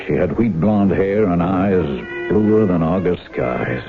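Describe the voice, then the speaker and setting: An old, band-limited radio broadcast with music behind it. a resentful, covetous older brother working a farm. His speech is folksy and swings widely in pitch, in dramatic first-person radio style. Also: lively intonation, slow, phone-quality sound, full character voice. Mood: dark, brooding. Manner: folksy, plain rural speech. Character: resentful, lustful older brother, rough-edged farmer.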